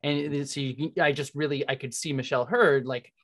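A woman's voice: very deep voice, steady tone, a little fast. A woman in her thirties hosting a casual interview over a video call, speaking into a studio microphone. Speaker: host, interviewer. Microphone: large microphone on a boom arm.

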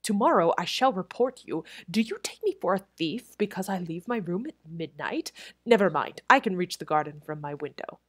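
A woman voicing a fierce, impatient gentleman guest at a hotel. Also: lowish voice, very animated, fairly quick, husky, dry recording, exaggerated voice. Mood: indignant. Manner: fierce, indignant.

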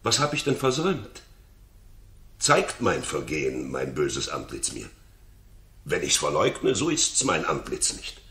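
An actor playing a loyal, honest royal counsellor in a 1960s German radio play, speaking with formal, earnest dignity. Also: male voice, extremely low pitch, huge pitch swings, measured pace, bright, forceful tone, reverberant, full character voice. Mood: pleading earnest.

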